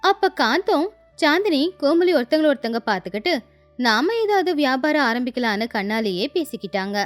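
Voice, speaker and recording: A woman's voice: sits high, very animated, moderate pace, faint music behind. A woman telling a scripted moral tale as its storyteller.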